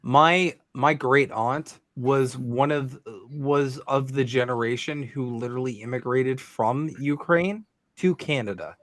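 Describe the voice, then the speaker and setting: A man's voice: medium pitch, a little slow, few pauses, fairly bright tone, dry recording, studio quality. A man chatting casually on a political panel, telling stories with swearing and acted-out quotes. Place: online group call.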